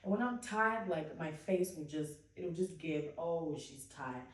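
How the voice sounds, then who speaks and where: Woman, podcast co-host, chatty storytelling, slightly low voice, echoey room away from the mic. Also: animated, a little slow, far from the mic. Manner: storytelling, lots of fillers and asides. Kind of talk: casual faith chat.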